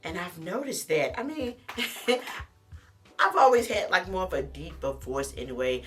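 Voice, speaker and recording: A middle-aged woman with a deep voice, vlogging to camera in a chatty, animated way and calling the viewer honey. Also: moderate pace, faint music behind. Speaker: lifestyle vlogger. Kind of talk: casual talk to camera.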